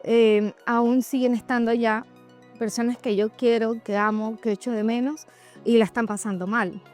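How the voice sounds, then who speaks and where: A woman shares a reflective personal monologue, speaking candidly and straight to her listeners. Her voice sits a little high, and music plays faintly behind her. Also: fairly even intonation, moderate pace, a little mellow. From Venezuela. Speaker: host of a personal podcast or vlog. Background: background music.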